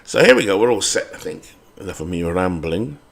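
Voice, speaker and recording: A British man with a lowish voice talks casually over a computer baseball game, rambling from thought to thought. He hesitates and corrects himself often and keeps an easy, chatty flow. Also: very animated, fairly quick, gravelly, noisy background. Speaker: game channel host.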